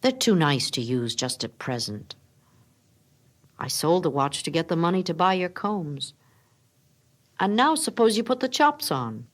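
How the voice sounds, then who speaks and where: A woman voicing a gentle young husband, plain-spoken and tender, in a dramatic storybook reading. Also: fairly low pitch, big pitch swings, unhurried, long pauses. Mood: calm, resigned.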